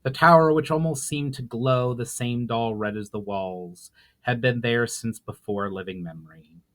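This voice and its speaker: Male author-narrator, medium pitch, measured dramatic audiobook style, slightly imperfect live read. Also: fairly bright tone. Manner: measured reading with occasional stumbles and self-corrections. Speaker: author reading own book aloud.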